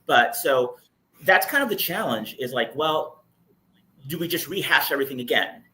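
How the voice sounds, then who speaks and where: Bright-voiced man giving opinions. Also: fairly high pitch, lively intonation, moderate pace. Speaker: panel guest commentator. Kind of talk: casual opinionated discussion.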